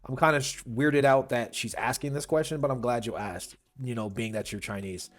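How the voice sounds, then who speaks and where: An opinionated man runs a reaction video and talks through the clip in a loose, casual way. He rambles as he goes and fills the gaps with "you know" and "um." His voice sits at an ordinary middle pitch. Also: fairly even intonation, normal speed. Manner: opinionated, rambling with many fillers.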